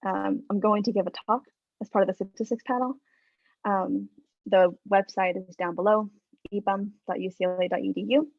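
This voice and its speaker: A woman from Mexico in her thirties, a statistics professor giving a relaxed academic talk over a video call, with frequent ums and uhs. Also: medium pitch, moderate pace, gravelly, dry recording. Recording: dry, phone-like video call audio.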